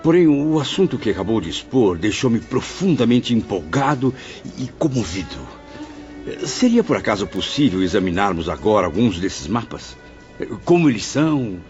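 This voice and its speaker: A man's voice: fairly low pitch, sing-song, moderate pace, darkish tone. A man as an eager, emotional spirit apprentice. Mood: eager, moved. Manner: hesitant, emotional pleading. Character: anxious, moved male spirit apprentice. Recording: dull lo-fi audio under music.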